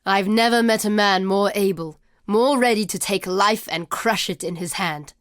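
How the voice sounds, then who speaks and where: A woman playing a proud, wary desert tribeswoman warrior in a video game, speaking in a solemn, measured and formal way. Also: medium pitch, no room sound, studio quality, lightly acted. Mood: grave. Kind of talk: video game character dialogue.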